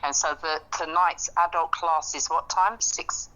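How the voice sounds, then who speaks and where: A woman in casual, reflective conversation, speaking earnestly and haltingly as she works out her thoughts. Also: sits low, fairly even intonation, pushed and bright, dull audio.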